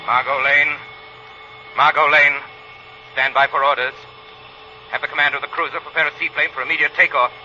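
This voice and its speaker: An actor in a vintage radio drama playing a calm, invisible male crime fighter with a formal, authoritative, measured manner. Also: fairly high pitch. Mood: urgent. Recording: muffled, noisy old radio recording.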